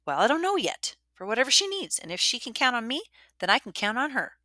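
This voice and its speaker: A woman voicing a pompous, scheming schoolgirl in a shrill, emphatic storybook voice. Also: lively intonation, a little fast, sharp tone, no room sound, clean studio sound. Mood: pompous, eager.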